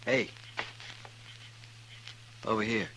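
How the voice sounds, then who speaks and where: An actor playing a menacing drifter and hired killer in a 1950s radio western, speaking in terse, cool phrases. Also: male voice, on the low side, very slow, bright, forceful tone, heavily acted, poor audio. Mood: cool menace. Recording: vintage 1950s radio recording, faint music.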